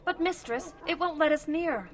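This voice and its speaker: A woman's voice: sits high, normal speed, recorded from a distance, lightly acted. A woman voicing a timid, fretful elf servant girl, anxious and pleading, in fantasy video game acting.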